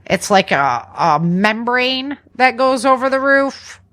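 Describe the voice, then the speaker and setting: A woman's voice: on the high side, animated, slow, fairly bright tone. An American woman hosting a podcast, running through news in a chatty, informal way with slangy casual commentary. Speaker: podcast host.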